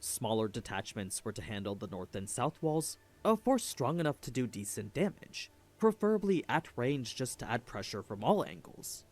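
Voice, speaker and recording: A man's voice: mid-range voice, big pitch swings, normal speed, few pauses, a little mellow. A man giving a scripted story recap as a video narrator.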